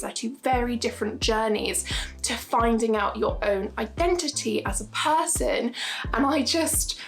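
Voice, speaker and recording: A young woman in her twenties talking straight to camera in a casual vlog, earnest and emphatic, repeating her points for stress. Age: 20s. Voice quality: high-pitched voice. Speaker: YouTuber.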